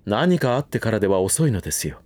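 An actor playing a loyal manservant in a Japanese voice drama, coldly polite and distrustful, with formal phrasing and a barbed edge. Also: male voice, lowish voice, big pitch swings, quick pace, a little mellow, no echo. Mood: curt, guarded.

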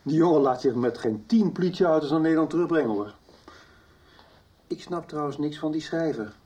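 An actor playing a gruff, blunt father in a radio soap. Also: on the high side, fairly quick, dark tone, close to an everyday voice.

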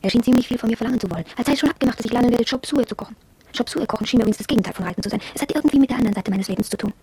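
A woman's voice: a little high, very fast, dark tone, breathy edge. An actress playing an impressionable, wondering young shop girl who confides her experiences in a reflective radio drama monologue.

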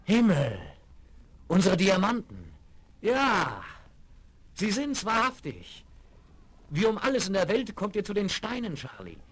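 An actor playing an earnest, courteous gentleman adventurer in a children's western radio play, polite and expressive in speech. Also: sits high, measured pace, pauses often, exaggerated voice. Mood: astonished, joyful. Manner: polite, expressive, well-spoken. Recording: faintly crackly vinyl radio play rip.

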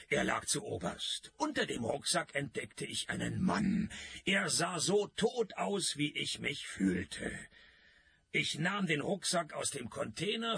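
Sardonic detective, radio play, bright forceful edge, animated intonation, weary wit. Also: male voice, on the high side, creaky, breathy edge, full character voice. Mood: dry, weary. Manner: dry, sarcastic, world-weary. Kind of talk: radio play acting with first-person narration.

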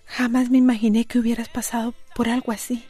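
An actress playing a troubled, loyal wife who speaks earnestly and defensively in a radio drama. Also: female voice, fairly high pitch, flat delivery, fast talker, mellow, slightly rough. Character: troubled, loyal wife in denial about her marriage.

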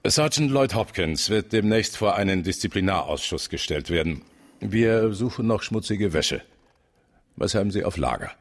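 An actor playing a shrewd, commanding senior police chief in a radio drama, authoritative, measured and dryly sardonic. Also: male voice, low voice, animated. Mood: dry, official. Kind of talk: German radio drama acting.

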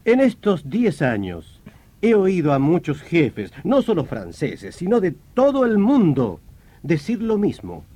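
An actor playing a sceptical, world-weary French police inspector in his thirties in an old radio drama, wry and measured. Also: high-pitched, huge pitch swings, warm tone, exaggerated voice. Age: 30s.

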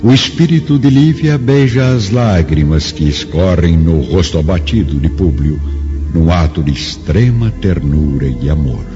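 A Brazilian man narrates a radio drama solemnly. His low voice is highly expressive and dark in tone. The recording is slightly dull, with faint music beneath. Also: unhurried.

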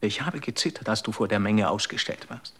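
An actor in a radio drama playing a devoted, worried companion, speaking gently and earnestly, often in a hushed voice. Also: male voice, lowish voice, fairly quick, slightly rough.

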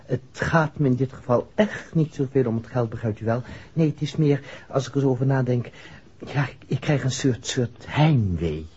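An actor playing a genial, nostalgic ex-burglar in a 1960s radio drama, polite, hesitant and repetitive. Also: male voice, medium pitch, expressive, normal speed, dark tone, husky. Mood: coy, wistful. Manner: hesitant, chuckling, polite, repeating himself. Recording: muffled old 1960s radio recording.

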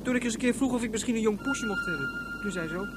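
With ambient noise behind, a young boy played by a woman chatters quickly and bluntly. He is matter-of-fact, a child of a space colony in a radio drama. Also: on the high side, close to an everyday voice. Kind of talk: Dutch science-fiction radio drama.

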